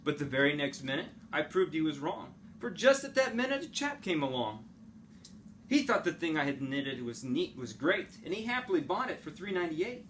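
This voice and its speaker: A man's voice: a little high, very animated, moderate pace, a bit bright, slight background noise. A man reading a children's story aloud like a teacher, expressively and with dramatic phrasing.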